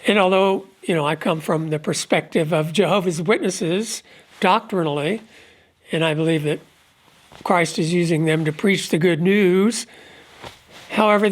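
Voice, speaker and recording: A man with a head cold and a stuffed-up voice, giving an unscripted religious commentary at a measured, halting pace, pausing often. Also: sits high. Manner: measured, halting delivery with frequent pauses. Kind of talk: unscripted monologue on prophecy.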